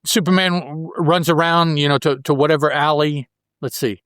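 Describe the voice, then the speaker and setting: A man's voice: on the high side, steady tone, normal speed, fairly bright tone, clear, resonant tone, dry recording. Casual man podcasting in a dry room. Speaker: podcast host. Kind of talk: casual comic book recap.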